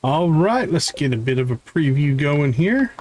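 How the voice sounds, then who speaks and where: A man's voice: fairly high pitch, big pitch swings, normal speed, a little mellow. A man giving casual live gameplay commentary, talking viewers through what he is doing as he plays. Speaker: game streamer, host.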